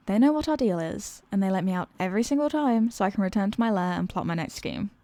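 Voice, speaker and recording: A woman's voice: medium pitch, animated, fast talker, warm tone, no echo, close to an everyday voice. A woman playing an exasperated, sarcastic supervillain who is secretly weary of evil, in a theatrical comedic ASMR roleplay monologue. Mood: smug explaining.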